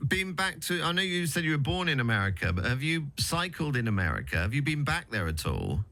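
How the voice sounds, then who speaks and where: A male radio presenter with a lively, bright voice, swinging up and down in pitch. He asks questions in a relaxed, conversational way, with plenty of ums. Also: a little fast. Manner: relaxed, conversational, many fillers. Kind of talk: radio interview.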